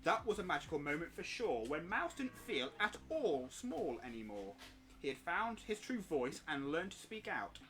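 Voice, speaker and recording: British man, very animated storybook reading over music. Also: on the high side, normal speed. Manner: playful, expressive. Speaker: streamer and parent reading a bedtime story. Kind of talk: animated rhyming storybook narration.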